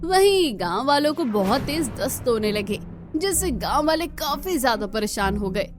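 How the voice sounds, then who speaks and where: High-voiced woman narrating a cartoon story. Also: very animated, moderate pace, background noise.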